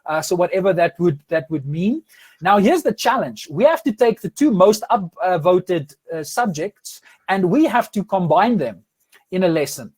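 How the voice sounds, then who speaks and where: Afrikaans-accented male host in his thirties, high-pitched, lively, restarts often, video call sound. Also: normal speed, breathy edge. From South Africa. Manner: energetic, many restarts and fillers. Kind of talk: casual interview over video call. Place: home room with curtains.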